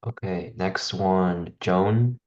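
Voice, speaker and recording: A man's voice: deep voice, drawn-out words, darkish tone, dull audio. A man organizing an online class, calling on participants one by one in a brief, matter-of-fact way. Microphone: video call.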